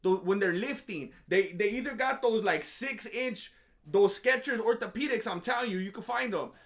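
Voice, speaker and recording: A high-pitched man rambles through a casual commentary monologue, full of sarcasm and self-mocking jokes. He restarts his sentences often and sounds a little groggy, as if just up from a nap. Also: normal speed, a bit bright. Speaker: MMA commentary vlogger.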